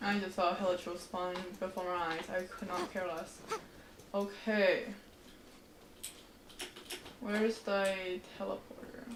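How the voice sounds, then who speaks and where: A woman's voice: fairly low pitch, little pitch movement, takes it slowly, long pauses, noisy background. A woman casually commentating a livestreamed game, talking to herself as she plays. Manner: talks to herself, loud exclamations. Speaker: game streamer. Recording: echoey room with game music. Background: game music.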